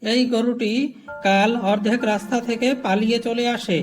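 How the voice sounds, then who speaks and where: An actor playing a poor, stubborn, righteous Brahmin man in a cartoon, speaking in halting, declamatory phrases. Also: sits high, little pitch movement, faint music behind, natural voice. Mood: indignant. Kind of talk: cartoon dialogue.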